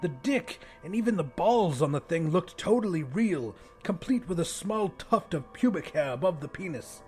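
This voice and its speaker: A man's voice: sits high, animated, moderate pace, mellow, soft background music. A man reading first-person fiction as a scripted audiobook narration.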